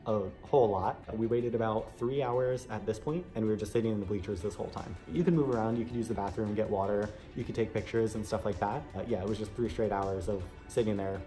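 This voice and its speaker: Fast-talking man telling a casual vlog story. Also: lowish voice, steady tone, dark tone, faint music behind. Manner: chatty, frequent "like" asides. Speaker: vlogger recounting an audition.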